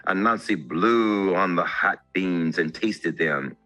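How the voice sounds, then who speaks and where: A man narrating a children's story as an expressive, theatrical storyteller. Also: deep voice, slow, faint music behind.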